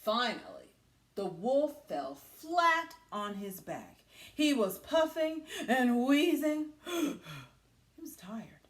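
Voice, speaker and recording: Female teacher for children's read-aloud: highish voice, unhurried, dramatic, big swings in pitch. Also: sing-song, reverberant. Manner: dramatic, deliberate phrasing with hushed asides. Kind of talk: animated read-aloud storytelling for young children.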